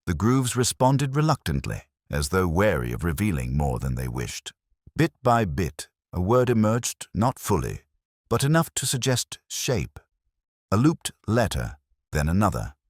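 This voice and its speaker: A man playing a brooding, weary police detective, narrating a mystery in the first person with solemn, measured, literary phrasing. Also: extremely low pitch, dry recording, clean studio sound, heavily acted.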